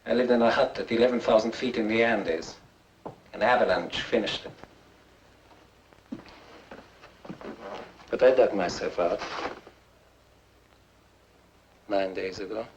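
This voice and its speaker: An actor playing a bitter fugitive inventor who taunts theatrically, in a 1950s film style. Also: male voice, lowish voice. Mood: dry, sardonic. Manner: sardonic, theatrical, drawn-out taunting. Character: bitter, gloating fugitive inventor, a mocking villain. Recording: muffled, noisy 1950s film soundtrack.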